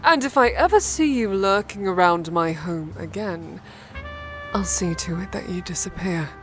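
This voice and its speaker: An actress playing a commanding, coolly threatening woman in a cinematic audio drama, measured, deliberate and authoritative. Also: lowish voice, lively intonation, music in the background, natural voice. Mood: cold menace.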